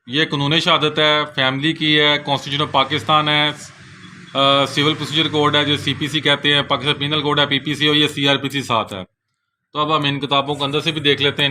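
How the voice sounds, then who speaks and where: A man's voice: a little high, bright, forceful tone, slight background noise. A man with a Pakistani accent gives a casual, unscripted product overview as a seller, often closing his sentences with a polite tag. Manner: repeats a polite verbal tag at sentence ends. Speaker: bookseller presenting products.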